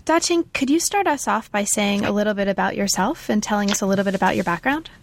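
A woman's voice: medium pitch, lively intonation, quick pace. A woman hosting an academic book interview, speaking with enthusiastic, effusive praise and frequent fillers. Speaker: podcast host.

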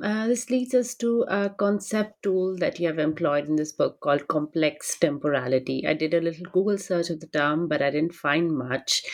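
Woman, interviewer, low voice, hesitant, filler-laden questions. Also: darkish tone.

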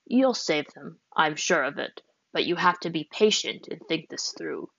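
Calm old man, wise and unhurried, read by a woman; slow, low delivery in a dry lo-fi reading. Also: vocal fry, slightly rough, no room sound, exaggerated voice. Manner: slow, gentle and reassuring. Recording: dry, dull lo-fi audio.

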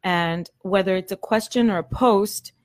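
A woman's voice: mid-range voice, measured pace. A middle-aged woman chatting casually as a host in a group conversation, laughing easily as she tells stories. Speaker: group moderator on a talk show.

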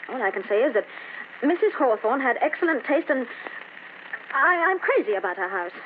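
Through a thin, telephone-like band with clear hiss comes a jealous, edgy young wife in a vintage radio thriller. Her delivery is expressive and defensive, and her pitch swings widely. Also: a little fast. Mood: brisk, dismissive. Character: jealous, defensive young wife, newly moved into an uneasy house. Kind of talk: old time radio horror drama acting. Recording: noisy, tinny old radio recording.

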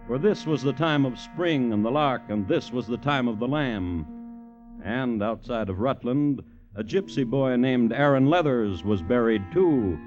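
An actor playing a wry, folksy narrator in an old radio drama, with a lyrical, theatrical delivery. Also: male voice, mid-range voice, a little mellow, natural voice. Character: wry, folksy male storyteller of a dark tale. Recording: muffled old radio recording, music underneath.

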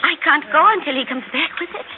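Casting: woman playing nervous young German fräulein, high voice, earnest and pleading, muffled vintage radio play. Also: fast talker, natural voice. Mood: worried, pleading. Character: anxious, trusting young German woman seeking her fiancé. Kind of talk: 1960s radio drama acting. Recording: muffled old radio broadcast, faint noise.

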